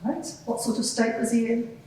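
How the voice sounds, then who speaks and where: A courteous female advocate, acted by a woman, questions a witness in measured, formal phrases. Her voice sits a little high and comes from a distance in a very echoey courtroom. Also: a little mellow, close to an everyday voice. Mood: probing. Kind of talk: dramatised courtroom examination. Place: large courtroom.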